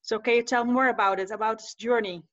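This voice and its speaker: A woman's voice: a little high, fairly even intonation, quick pace, fairly bright tone, dull audio. A woman from the Netherlands in her forties, co-hosting over a video call and prompting the guest with questions. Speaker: co-host and money coach. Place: home room with bookshelves. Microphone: video call webcam.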